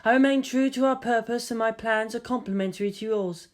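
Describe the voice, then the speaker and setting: A cool, scheming young woman in a fan audiobook reading, voiced by the reader a little higher and with an altered tone. She speaks evenly and softly, every line controlled and insistent. There is a faint hint of amusement under the composure. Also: close to an everyday voice. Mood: composed. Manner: calm, measured, quietly insistent.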